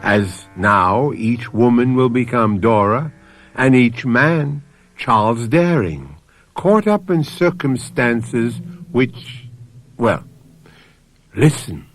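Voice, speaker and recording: A man hosting a radio mystery drama, introducing the story in a deliberate, scripted storytelling manner. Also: mid-range voice, sing-song.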